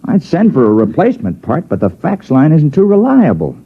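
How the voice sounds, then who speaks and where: Husky, easygoing outpost agent. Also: male voice, a little high, wildly swooping pitch, brisk, exaggerated voice. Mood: easygoing, deadpan. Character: easygoing, folksy male resident agent at a backwater outpost. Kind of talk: 1950s radio drama acting. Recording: muffled old radio recording.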